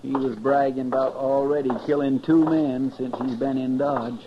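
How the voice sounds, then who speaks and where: An actor playing a loyal, deferential sidekick to a frontier marshal in a 1950s radio western, with a rural American West accent. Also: male voice, mid-range voice, level tone, moderate pace, background noise, lightly acted. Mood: anxious, deferential. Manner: deferential, plain-spoken, questioning. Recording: dull 1950s radio recording, crowd behind.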